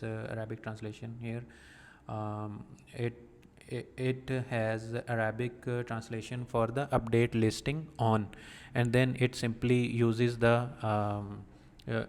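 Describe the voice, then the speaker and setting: A man explaining a software setting step by step in a halting, methodical way, pausing often as he walks through a screen recording. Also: medium pitch, clean tone, in a large hall. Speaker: software tutorial presenter.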